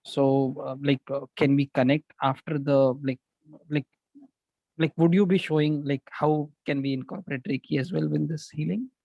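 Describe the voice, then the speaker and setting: A man's voice: a little high, fairly even intonation, normal speed, creaky, warm tone, dry recording, muffled recording. A man asks questions hesitantly as a participant on an online call, leaning heavily on "like". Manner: hesitant, heavy use of "like".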